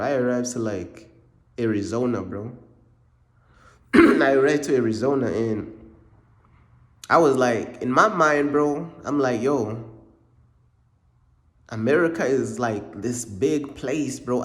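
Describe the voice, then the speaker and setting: A young man from Uganda tells a story in a loose, rambling way, dropping "bro" into nearly every sentence. His voice sits in a middle pitch, and an echoey room rings around him. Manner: laid-back, rambling, says "bro" constantly. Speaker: faith vlogger. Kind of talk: casual storytelling.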